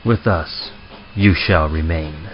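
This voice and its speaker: A man's voice: very deep voice, takes it slowly, slight background noise. A man playing the sinister conductor of a hellish ghost train, theatrical and ominous in an old-time radio horror show. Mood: menacing invitation. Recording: muffled lo-fi audio, music behind.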